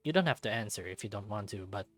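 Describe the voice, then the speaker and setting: A man's voice: lowish voice, animated, quick pace, soft background music. A man voicing an earnest, awkward young recruit in a visual novel, with a lifted youthful voice and hesitant, apologetic delivery.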